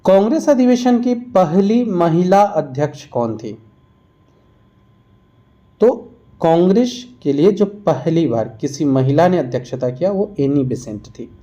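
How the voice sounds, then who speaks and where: Higher-pitched, mellow-voiced man teaching a quiz lesson. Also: animated, measured pace, highs cut off. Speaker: exam-prep history teacher. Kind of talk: quiz-style lesson narration.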